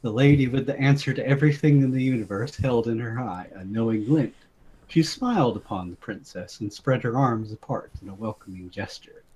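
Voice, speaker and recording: Guy reading a silly story out loud, theatrical, normal male pitch. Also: brisk, husky, rough recording. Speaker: reader of the story. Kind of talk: dramatic reading of absurd comic story.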